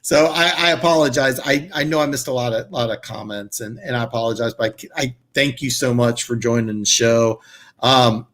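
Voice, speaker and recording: A man hosting a live football chat stream, answering viewers in a rambling, enthusiastic way, his voice hoarse and congested. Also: medium pitch, normal speed, a bit bright. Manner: rambling, many restarts and fillers, enthusiastic.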